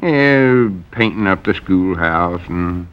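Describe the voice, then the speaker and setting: A man's voice: deep voice, expressive, takes it slowly, clean tone, highs cut off. A man playing a cagey old rural storekeeper in a 1940s radio comedy, with a folksy, evasive drawl.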